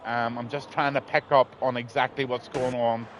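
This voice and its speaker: A man's voice: mid-range voice, level tone, normal speed, fairly bright tone, a little hiss or hum. A man, losing his voice, commentating a live online race in a rambling, blunt way with lots of ums. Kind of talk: live sim racing commentary.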